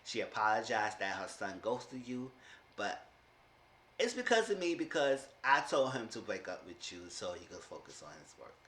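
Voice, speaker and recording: A man in his thirties casually recapping a reality show, telling the story with lively voices for the people he quotes. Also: normal speed, reverberant. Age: 30s. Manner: animated storytelling, acting out quoted people with big pitch jumps. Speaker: TV show reviewer.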